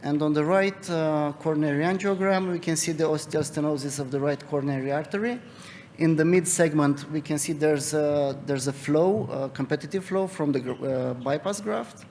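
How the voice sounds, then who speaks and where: A young man from Romania with a Romanian accent, a cardiologist presenting a case at a medical conference in a halting, hesitant way. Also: a little high, a little mellow. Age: young adult. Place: conference hall. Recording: reverberant hall, noticeable background noise.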